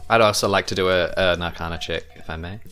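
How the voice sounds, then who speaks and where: A man chatting casually as a player in a tabletop game, with halting, hesitant phrasing. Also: low-pitched, steady tone, a bit bright. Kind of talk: casual improvised game chat.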